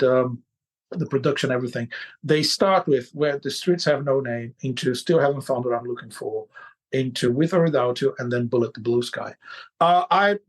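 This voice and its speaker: A man with a Brazilian accent, co-hosting a casual music podcast, pausing and repeating words as he searches for them. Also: on the high side. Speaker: podcast co-host. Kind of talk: casual chat.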